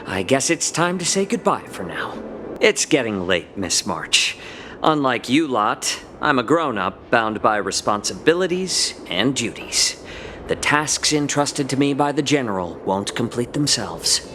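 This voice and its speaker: An actor playing a courteous, composed fox-folk healer in a game cutscene, speaking in a polite, measured, formal way. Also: male voice, medium pitch, big pitch swings, background noise. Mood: light, polite. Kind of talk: scripted game cutscene acting. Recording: background music under game dialogue.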